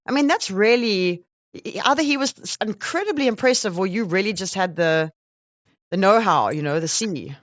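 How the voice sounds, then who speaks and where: A woman's voice: medium pitch, expressive, moderate pace, no echo. Woman, South African accent, show host, effusive conversational interviewing, dry close sound, slightly dull lo-fi audio. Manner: chatty, informal, effusive.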